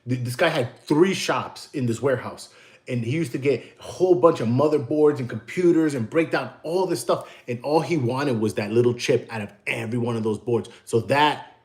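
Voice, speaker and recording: A man's voice: mid-range voice, moderate pace, darkish tone. An American man hosting a casual livestream, telling long, digressive stories and answering the chat. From United States. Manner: rambling, digressive storyteller. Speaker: livestream host; tattoo artist.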